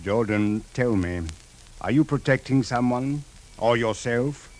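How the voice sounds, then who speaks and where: Man playing stern police official, medium pitch, formal, precise, interrogating, vintage radio drama. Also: unhurried, a little mellow, close to an everyday voice. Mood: stern, probing. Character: stern, patient, shrewd Cairo police official. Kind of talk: 1950s radio drama acting.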